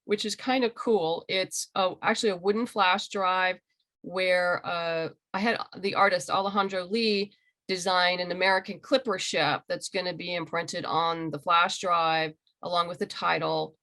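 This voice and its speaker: A woman with a fairly low voice talks as a guest in a casual interview over a video call. She sounds enthusiastic about her creative work and speaks in a conversational, unscripted way. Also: steady tone, moderate pace, a bit bright. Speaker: interviewed comic book writer and audio drama creator.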